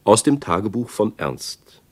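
A man announcing short facts in a radio play, crisp and matter-of-fact. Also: lowish voice, very animated, measured pace.

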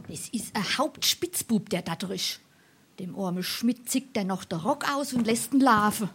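An actress playing a sharp-tongued, scornful tavern waitress in a dialect stage comedy, snappy and South Hessian, with noise behind her. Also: medium pitch, moderate pace, lightly acted. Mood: disapproving. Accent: South Hessian, Darmstadt dialect.

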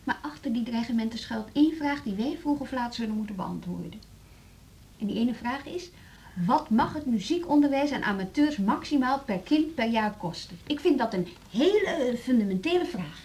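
Woman, radio drama, school leader, rather high voice, earnest, measured, conciliatory. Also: animated, darkish tone. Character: conciliatory, reasonable female music-school leader.

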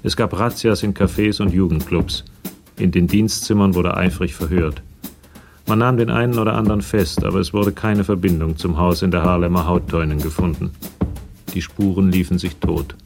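A man narrating a German radio crime drama in a measured, factual storytelling manner. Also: low voice, fairly even intonation, vocal fry, darkish tone, soft background music.

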